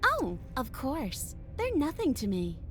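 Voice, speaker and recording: A woman's voice: high-pitched, wildly swooping pitch, slow pace, faint music behind, full character voice. A woman playing a proud, bitter, menacing captive princess in a video game, sarcastic and taunting. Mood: scornful. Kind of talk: video game character acting.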